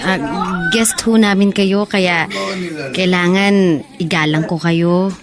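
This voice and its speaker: A woman's voice: on the low side, steady tone, slow, a crowd in the background. A woman playing a wounded, guarded young woman who harbors anger, acted in a melodramatic, hesitant radio drama manner. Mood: polite, reserved. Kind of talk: Filipino radio drama acting.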